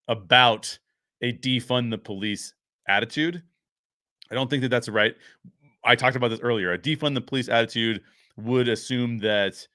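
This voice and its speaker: A male host talks through a film review off the cuff, with an emphatic, explanatory way of making points. His voice sits fairly low yet has a bright, forward edge. The sound is dry, with hardly any room to it. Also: no echo. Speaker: show host and commentator. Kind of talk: unscripted film review commentary.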